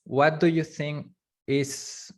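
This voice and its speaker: A man whose first language is Spanish, teaching a live online course, talking in a halting way with many fillers and restarts. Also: fairly high pitch, little pitch movement. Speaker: course instructor. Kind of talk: live online class lecture.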